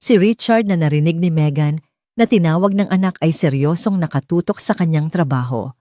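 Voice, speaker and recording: A woman's voice: low-pitched, brisk, dark tone, no echo. A woman reading a scripted romance story as an audiobook narrator.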